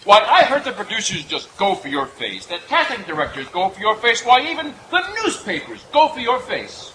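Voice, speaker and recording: A man's voice: high-pitched, sing-song, moderate pace. A man hosting an old-time radio variety show with a showman's projected patter. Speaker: master of ceremonies. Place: outdoor night stage in woods, live audience. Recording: noisy outdoor stage, crowd.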